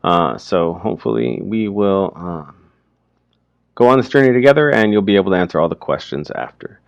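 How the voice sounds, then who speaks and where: A man teaching through informal, explanatory commentary on a story, speaking haltingly as he thinks aloud. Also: low-pitched, very animated, vocal fry, no echo, dull audio.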